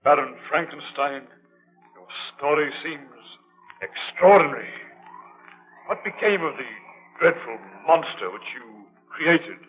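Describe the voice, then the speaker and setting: An actor playing a grave, curious sea captain, speaking formally and deliberately in 1930s radio style. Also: male voice, a little high, lively intonation. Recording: muffled 1930s radio broadcast.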